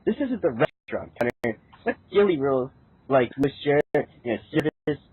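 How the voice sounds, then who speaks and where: A man's voice: medium pitch, big pitch swings, moderate pace, husky, no echo. A man doing an amateur character reading with casual reactions, recorded through muffled call audio.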